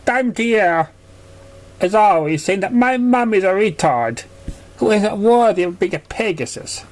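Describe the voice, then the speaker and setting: A man's voice: high-pitched, animated, a little slow, pitched above the performer's own voice. A man voicing a hurt, childlike little girl pony in a plaintive story voice. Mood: hurt, downcast.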